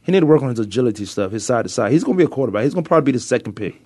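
A man with a Virginia accent talks sports in a loose, animated back-and-forth. His voice sits at an ordinary middle pitch and sounds dry and close, with no room around it. He presses his points hard and doubles back on words as he gets worked up. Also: rapid-fire, dry recording. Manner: animated, emphatic, repeats himself when excited. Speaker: sports talk host. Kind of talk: casual sports debate.

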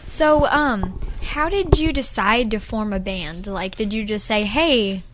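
A woman's voice: mid-range voice, noisy background. A teenage girl co-hosting a casual interview, chatty and enthusiastic. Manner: chatty, enthusiastic, quick to agree. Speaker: co-host.

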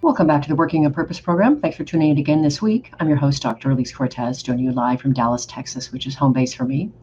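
A woman's voice: very deep voice, fast, dark tone, faint music behind. A woman from Oregon hosting an interview show, talking in an earnest, enthusiastic way with some restarts. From Oregon, USA. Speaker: podcast host. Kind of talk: warm interview chat. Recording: slightly dull audio with faint hum.

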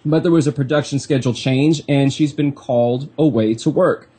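A man's voice: medium pitch, normal speed, dark tone, slightly rough. A man hosting a live internet radio show, stuffy from a cold, chatty and playful. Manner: playful, chatty, self-mocking.

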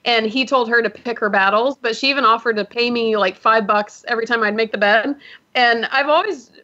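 A woman's voice: a little high, brisk, sharp tone. A young adult woman from the US South, a podcast guest talking casually and laughing often at herself. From Georgia, US South. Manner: chatty, laughs often, self-deprecating. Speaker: podcast guest, business founder. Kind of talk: casual interview talk. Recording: muffled lo-fi audio.

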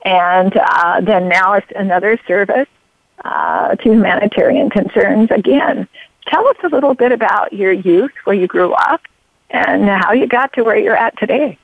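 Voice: mid-range voice, moderate pace, vocal fry, dry recording. A radio show host interviewing a guest, talking in long rambling runs full of fillers and restarts and drifting into personal stories. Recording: phone-like narrow sound.

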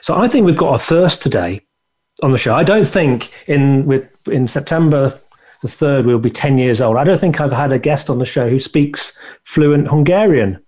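A man from England hosting an interview show on a video call, speaking in a halting way with many restarts. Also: medium pitch, lively intonation, a little mellow. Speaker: podcast host. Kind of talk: casual interview chat.

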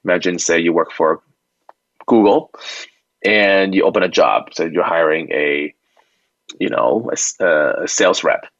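A man's voice: low voice, unhurried, long pauses, a bit bright, dry recording. A man speaking as an expert guest in an interview, explaining things conversationally step by step and checking in as he goes. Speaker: expert guest, company founder. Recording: muffled, dry lo-fi audio.

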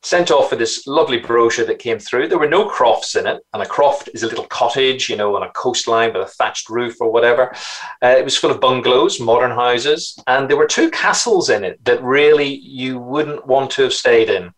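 A middle-aged man with a Northern Irish accent from Belfast, telling his business story in an interview with lively anecdotes. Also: medium pitch, moderate pace. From Northern Ireland. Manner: animated, anecdotal, repeats words when starting. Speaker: guest entrepreneur.

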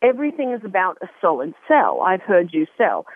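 A woman's voice: fairly low pitch, wildly swooping pitch, fairly quick, husky, dry recording. A woman hosting a radio talk show, chatty and often restarting her sentences. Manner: chatty, self-interrupting, repeats words. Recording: narrow phone-like line.

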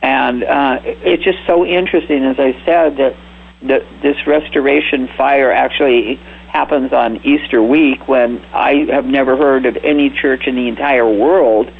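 Male talk radio host, husky and rambling, heard over thin phone-like audio. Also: mid-range voice, steady tone, measured pace. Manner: rambling, speculative, asks guests for comments. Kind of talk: casual talk radio discussion.

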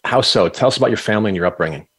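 A man's voice: on the low side, lively intonation, very fast, a bit bright, slightly rough. A man hosting an interview podcast, reading a formal guest introduction and asking questions steadily. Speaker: podcast host.

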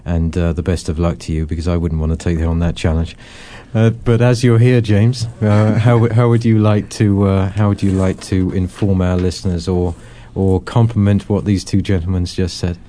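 Low-voiced male host, halting and mellow. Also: level tone. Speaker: host of a religious discussion series. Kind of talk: conversational panel moderating.

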